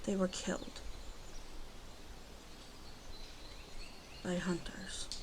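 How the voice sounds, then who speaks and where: A woman voicing a guarded, grieving female werewolf in an ASMR roleplay, halting and brooding, often dropping to breathy half-whispers. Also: fairly low pitch, flat delivery, background noise, lightly acted. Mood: grieving, grim. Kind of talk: ASMR roleplay monologue addressed to listener.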